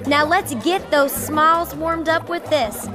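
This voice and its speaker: A folksy, giggly girl entertainer with a Southern US accent. Her voice is very high and takes its time, with music playing behind, in a radio comedy. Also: fairly even intonation, a little slow. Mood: cheerful, inviting.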